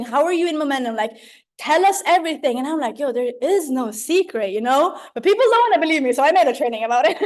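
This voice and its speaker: A young woman. She leads an online training like a mentor among friends, chatty and animated, slipping into slang and often checking in with her listeners. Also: high voice. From Sweden. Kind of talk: informal motivational talk to a group on a video call. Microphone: video call.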